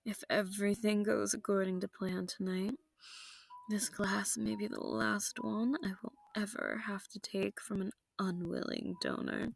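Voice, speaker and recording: A woman's voice: mid-range voice, measured pace, creaky. A woman playing a seductive, sadistic vampire noble in an intimate audio roleplay, genteel and teasing with menace, often sinking into whispers. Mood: silky, commanding. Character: seductive, sadistic, possessive female vampire noble hosting her foe.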